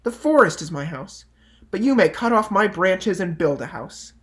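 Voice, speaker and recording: A man voicing a gentle, loving female tree in a picture-book read-aloud, coaxing and tender. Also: sits high, animated, normal speed. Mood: kindly, giving.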